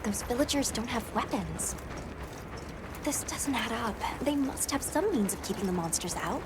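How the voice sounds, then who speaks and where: A woman's voice: fairly high pitch, lively intonation, normal speed, very noisy, lightly acted. A woman playing a spirited, urgent young female companion in a video game cutscene. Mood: concerned. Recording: music behind the voice.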